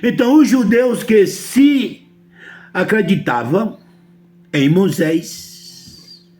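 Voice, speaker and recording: A man's voice: sits high, big pitch swings, extremely slow, darkish tone, soft background music. A man from Brazil with a Brazilian Portuguese accent, preaching a sermon emphatically and often asking his listeners whether they understand.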